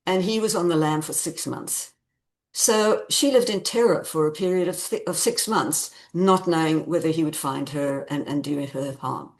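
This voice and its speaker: A woman speaks in a low register as an author being interviewed. She explains things conversationally and with measured care, leaning on repeated phrases to make a point. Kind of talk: conversational interview answers about own book.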